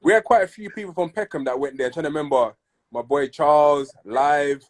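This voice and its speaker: A man, originally from Congo, hosting a casual interview over a video call, often repeating words as he searches for what to say. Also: fairly high pitch. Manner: halting, repeats words while searching for phrasing. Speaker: podcast host.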